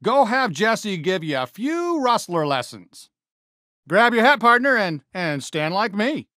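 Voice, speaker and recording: Good-natured cartoon cowboy sheriff, American, folksy drawl, very lively swings in pitch, unhurried, game character. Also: male voice, dry recording, studio quality, heavily acted. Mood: friendly, encouraging. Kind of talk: animated video game character lines.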